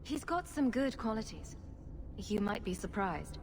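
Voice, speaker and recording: A sarcastic woman rogue in a fantasy game, dry and flippant. Her medium-pitched voice carries long reverb and faint noise behind it. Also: very animated, unhurried, a little mellow. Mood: sincere. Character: wry, sarcastic female rogue adventurer. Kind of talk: fantasy video game acting.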